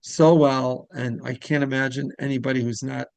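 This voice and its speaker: A man's voice: mid-range voice, fairly even intonation, moderate pace, gravelly, darkish tone, no room sound. A man hosting a casual interview, talking in a rambling way that circles back mid-sentence. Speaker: podcast host interviewer.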